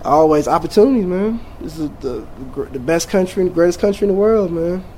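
A man in his forties from Jamaica, a teacher, talking in a casual interview, relaxed and rambling with many fillers and restarts. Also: high-pitched, brisk, slight background noise.

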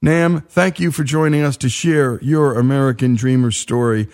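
A man hosting a radio interview, introducing his guest and asking questions in a measured, deliberate way. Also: mid-range voice, steady tone, a little mellow. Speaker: host.

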